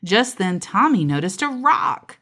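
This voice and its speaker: A woman's voice: mid-range voice, big pitch swings, a little slow, pushed and bright. A woman reading a children's story aloud expressively, with casual asides along the way.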